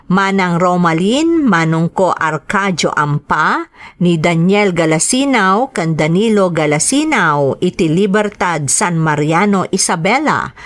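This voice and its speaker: A woman's voice: on the low side, lively intonation, slow, dry recording. A woman with a Filipino accent hosting a story program, reading scripted greetings and narration in an announcing style. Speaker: radio drama host reading greetings and letters.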